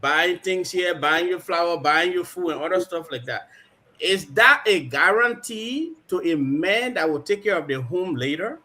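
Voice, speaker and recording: Co-host: man, halting, bright and high. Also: lively intonation. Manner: halting, restarts questions. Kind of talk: call-in radio talk.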